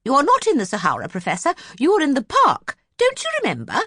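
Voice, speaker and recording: A woman voicing a fussy, eager society lady in a children's audiobook, shrill, insistent and exclamatory. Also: wildly swooping pitch, quick pace, fairly bright tone, breathy edge, no room sound, clean studio sound, exaggerated voice. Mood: brisk, insistent. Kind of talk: audiobook character voice for children's story.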